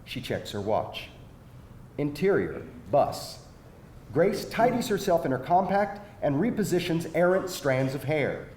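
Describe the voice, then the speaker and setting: A man narrating screenplay directions at a live table read, in a dramatic storytelling manner with measured pauses. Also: sing-song, a little mellow. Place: echoing indoor venue at live reading. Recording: echoey live room, faint rumbling noise.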